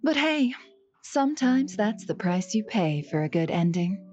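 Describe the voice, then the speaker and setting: A woman's voice: medium pitch, big pitch swings, unhurried, a little mellow. An actress playing a poised, shrewd and wry female guild boss in a video game. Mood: amused, reflective. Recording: slightly muffled game audio under music.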